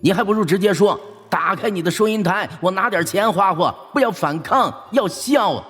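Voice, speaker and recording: A man's voice: high-pitched, normal speed, fairly bright tone, slightly rough, big-room sound, soft background music. A man playing an indignant, foul-mouthed shop owner, ranting sarcastically in an audio drama. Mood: indignant, sarcastic.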